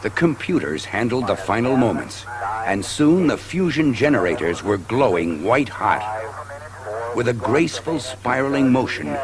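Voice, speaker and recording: A man's voice: mid-range voice, big pitch swings, normal speed, a crowd in the background. A man playing a solemn elder insect warrior, telling sacred history in a grave, theatrical storybook-record style. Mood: solemn, grand. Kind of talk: dramatic scripted storytelling on a children's story record. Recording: studio story record with music underneath.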